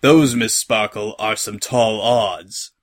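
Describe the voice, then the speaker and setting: A man voicing a smug, malicious pony sky captain, taunting in a grandiose, drawn-out way for an audio story. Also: fairly low pitch, steady tone. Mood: menacing, confident.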